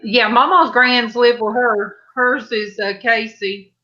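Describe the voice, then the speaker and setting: A woman's voice: fairly high pitch, fairly even intonation, slow pace, sharp tone, lo-fi sound. A middle-aged woman, hosting an online church panel in a folksy, chatty way over a video call. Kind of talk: casual chat on a livestream.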